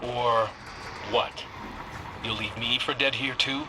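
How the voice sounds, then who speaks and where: A man's voice: medium pitch, fairly even intonation, takes it slowly, background noise, close to an everyday voice. An actor playing a wounded, defiant young man in a video game, with a sardonic, accusing way of talking. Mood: bitter, accusing. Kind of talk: dramatic video game dialogue.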